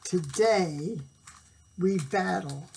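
A woman reading a storybook, voicing a jolly, stubborn king who makes slow, booming, grand pronouncements from his bath. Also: creaky, a little mellow, slight background noise, heavily acted. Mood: grandly jolly.

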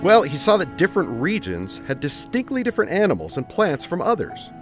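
A man's voice: on the high side, fairly quick, over music. A middle-aged man acting an upbeat father who earnestly explains things in a family audio drama. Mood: earnest, explanatory. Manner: explanatory, lively.

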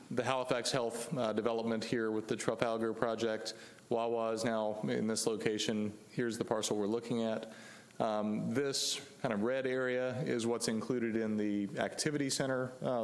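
A man in his forties speaks formally at a podium mic in a council chamber, his voice rough and froggy from laryngitis. He sits a little low in pitch and steadies himself with frequent fillers as he walks through slides. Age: 40s. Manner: measured, many fillers. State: hoarse from laryngitis. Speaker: attorney presenting an application. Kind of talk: formal public presentation. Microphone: podium microphone.

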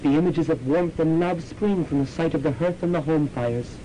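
A man's voice: normal speed, warm tone, natural voice, low-quality recording. A man playing a pompous, brooding young man who speaks solemn, grandiose purple prose in a campy college radio drama. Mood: grandly wistful. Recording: amateur student radio, faint rumbling noise.

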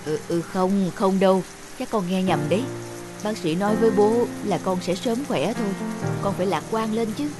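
A woman's voice: medium pitch, normal speed, natural voice. Woman voicing a protective, worried cartoon father. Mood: worried, reassuring. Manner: admonishing, parental, earnest. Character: stern, protective, worried father of a sick boy. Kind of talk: cartoon dubbing. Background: background music.

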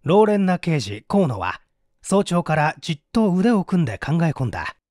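A man narrating a detective story as an audiobook, with theatrical, deliberate phrasing. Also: on the high side, very animated, a little mellow, no echo, studio quality. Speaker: audiobook narrator, stage actor.

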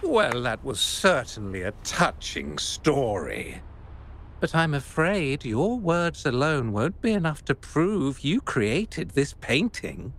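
A man's voice: mid-range voice, very animated, slow pace, fairly bright tone, heavily acted. A man playing a smug, skeptical accused man in a game, speaking in a condescending, argumentative way. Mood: smug, dismissive. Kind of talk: dramatic game dialogue.

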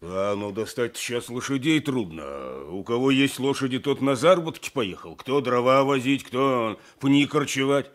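An actor playing a practical, obliging village headman in a radio drama, folksy and deliberate. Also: medium pitch, animated, lightly acted. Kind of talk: 1980s radio drama acting.

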